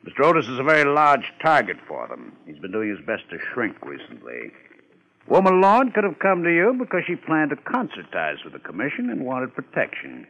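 Sardonic, swooping-voiced police lieutenant. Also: male voice, medium pitch, huge pitch swings, brisk, pushed and bright, breathy edge, exaggerated voice, poor audio. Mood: skeptical, lecturing. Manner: sardonic, precise, wordy. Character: sarcastic college-educated male police homicide lieutenant. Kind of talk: 1950s radio crime drama acting.

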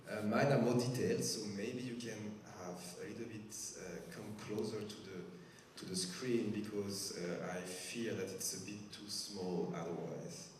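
A man in his thirties with a strong French accent, a doctor giving a slow, careful talk with many hesitations into a handheld microphone. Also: on the low side, slight background noise. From France. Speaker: physician speaker. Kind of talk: medical talk to a patient group. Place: large church hall with a stage. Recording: echoey hall from far off.

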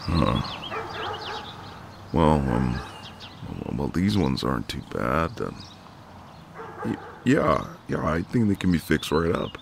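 Very deep-voiced gallant neighbour guy played by a man. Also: very animated, slow pace, creaky, pauses often, a little hiss or hum, full character voice. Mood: gently teasing, insistent. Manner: easygoing, hesitant, conversational, gently teasing. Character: friendly, gallant, teasing ex-marine male neighbour. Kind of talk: intimate ASMR roleplay, one side of a conversation.

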